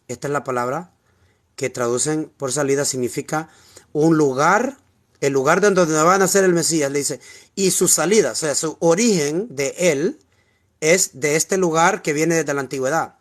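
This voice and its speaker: A man's voice: a little high, moderate pace. A man with a Honduran Spanish accent teaching the Bible in an informal, explanatory way, repeating key words and asking rhetorical questions. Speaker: preacher teaching scripture.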